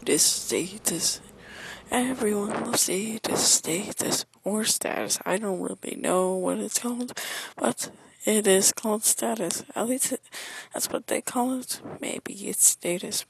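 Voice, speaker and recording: A woman rambling through a silly, repetitive homemade podcast bumper, with odd pauses between her words. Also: mid-range voice, creaky, breathy edge, no room sound, rough recording. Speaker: listener who sent in a podcast bumper.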